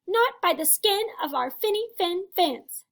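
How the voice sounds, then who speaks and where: Woman playing young little fish, storybook, defiant, plucky, chanting, high voice, animated pitch. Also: moderate pace, creaky, heavily acted.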